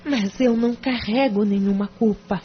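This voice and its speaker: A woman's voice: a little high, normal speed, lightly acted. An actress with a Brazilian accent playing a reserved, embarrassed married woman confiding in a hesitant way in an audio drama. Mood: reluctant, guarded. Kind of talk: audio drama acting in Portuguese. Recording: dull lo-fi audio with clear noise.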